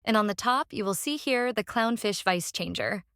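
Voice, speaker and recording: A woman who speaks English as a second language hosts a step-by-step software tutorial in a halting, repetitive instructional way. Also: medium pitch, no room sound, studio quality. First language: non-native English speaker. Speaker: tutorial host.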